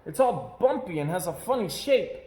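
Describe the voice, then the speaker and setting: A man's voice: sits high, big pitch swings, normal speed, warm tone, echoey room, pitched above the performer's own voice. A man voicing an earnest, cautious young girl bear cub in a children's storybook. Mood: curious, unsure. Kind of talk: children's picture book read-aloud.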